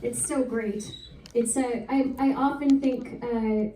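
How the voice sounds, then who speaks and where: A woman's voice: a little high, fairly even intonation, slow pace, warm tone. A woman hosting a literary event, chatting casually on stage in a playful, enthusiastic way. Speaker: event host. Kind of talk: casual onstage chat. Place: whisky bar literary event. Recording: echoey room, distant from mic.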